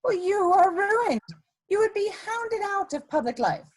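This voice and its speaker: A woman playing an elegant, ruthless blackmailer in a dramatic reading of a period play, speaking in a deliberate, imperious way. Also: very high-pitched, sing-song, exaggerated voice. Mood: imperious, threatening. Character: elegant scheming female blackmailer, coolly ruthless society lady.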